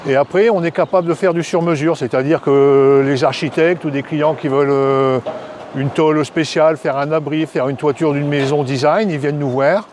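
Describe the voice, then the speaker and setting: A man's voice: fairly high pitch, steady tone, normal speed, a little mellow, slight background noise. A man speaking as a company director in an interview, explaining the business in a plain, matter-of-fact way.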